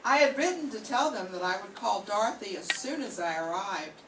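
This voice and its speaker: A woman's voice: mid-range voice, lively intonation, fairly quick, natural voice. An older woman reading the part of a polite young visitor who turns out to be a murderer, in an old-time radio mystery, with eager, exclaiming lines. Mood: eager, dramatic. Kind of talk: 1940s radio mystery acting. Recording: echoey room, distant mic, audible noise.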